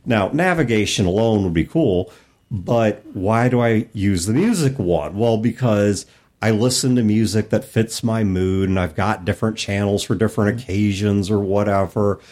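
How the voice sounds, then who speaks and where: A man's voice: fairly low pitch, a little mellow. A man co-hosting a podcast, chatting casually and informally about tabletop games. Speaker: podcast co-host.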